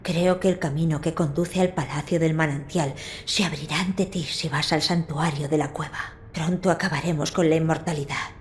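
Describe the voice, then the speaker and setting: A woman playing a gentle, resolute young noble boy in a dubbed video game, speaking softly in a measured, formal way. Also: deep voice, fairly even intonation, slight background noise, close to an everyday voice.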